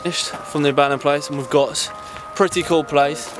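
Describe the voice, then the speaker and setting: A young man, vlogging casually as he explores an abandoned building, excitable and swearing freely. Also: on the high side, brisk. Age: young adult. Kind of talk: casual vlog narration while exploring. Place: abandoned building, indoors and out at night. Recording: music playing loudly behind.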